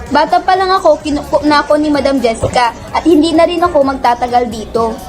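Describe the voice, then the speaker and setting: A troubled young student, acted by a woman in an amateur radio drama. She is fearful yet hopeful, with a high voice over soft music. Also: quick pace, a faint crowd, close to an everyday voice. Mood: determined.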